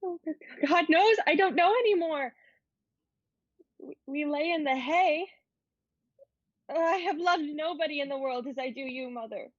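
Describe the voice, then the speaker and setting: A naive, frightened young teenage girl in a period stage drama , acted by a woman. Her voice is a little high and her lines come earnest and halting. The recording is dry and slightly muffled. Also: no echo. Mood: bewildered, pleading. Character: frightened, naive, ailing fourteen-year-old girl. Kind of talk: dramatic reading of a 19th-century play.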